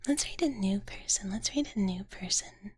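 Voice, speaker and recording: A woman streaming ASMR in a soft, gentle whisper, often repeating her phrases, her voice a little tired. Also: medium pitch, moderate pace, breathy edge, no echo. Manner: speaks of herself in third person. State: voice tiring, slightly strained. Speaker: live streamer hosting as a virtual character.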